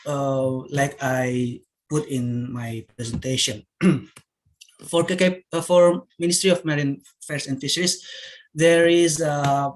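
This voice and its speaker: Indonesian guy presenting over a video call, slow and hesitant, lots of uh and restarts. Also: a little high, steady tone, low-quality recording. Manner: halting, many fillers and restarts. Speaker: workshop participant presenting.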